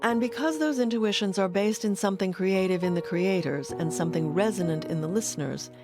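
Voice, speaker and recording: A woman's voice: medium pitch, fairly quick. A woman reading scripted narration as the narrator of a documentary. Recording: clear music bed under voice. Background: music.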